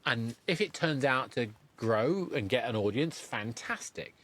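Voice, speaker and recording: A man hosting a casual podcast interview, speaking haltingly and rephrasing his thoughts as he goes. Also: mid-range voice, animated, a bit bright.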